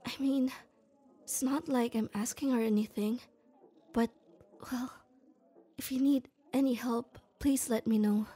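A woman's voice: a little high, steady tone, unhurried, long pauses, mellow, slightly rough. A young woman in an ASMR roleplay, playing a shy tomboy who talks hesitantly and stammers, close to the mic. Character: shy tomboy girl, gruff but kind. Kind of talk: ASMR roleplay, speaking to the listener.

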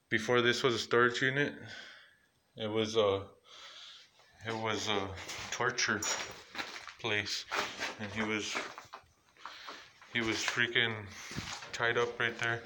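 A man casually narrating a walkthrough of a dark abandoned building, rambling and repeating himself as he points things out, filmed on a handheld phone. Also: fairly low pitch, level tone, slow pace, long pauses, noisy background. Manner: rambling, repetitive pointing out spots. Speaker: urban explorer vlogger. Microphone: handheld phone camera.

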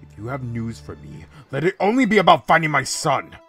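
A man's voice: fairly high pitch, sing-song, fairly quick, a bit bright, breathy edge, soft background music, exaggerated voice. A man voicing a cold, grieving, formal wealthy father in a dramatic comic dub. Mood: stern. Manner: formal, severe.

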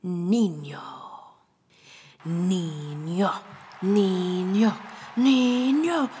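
A woman's voice: fairly low pitch, drawn-out words, dark tone, big-room sound, slight background noise. A woman storyteller reading a children's picture book aloud in a theatrical way, moving between whispers and exclamations.

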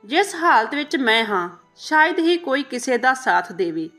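Woman voicing a sorrowful old bedridden man, high-pitched. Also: big pitch swings, moderate pace, a bit bright, soft background music. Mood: sad resigned.

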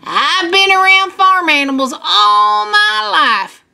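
A woman's voice: extremely high pitch, animated, slow, gravelly, sharp tone, pitched above the performer's own voice, full character voice. A woman voicing a kindly, folksy male farmer in a storybook, explaining things patiently and reassuringly. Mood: confiding.

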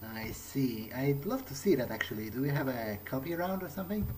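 A man's voice: mid-range voice, expressive, fairly quick, crowd noise. A man playing a video game in character, speaking hesitantly and thinking aloud in short phrases. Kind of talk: in-character game playthrough commentary.

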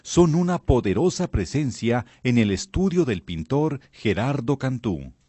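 A man reading a scripted documentary narration in a measured, expressive way. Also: medium pitch, no echo.

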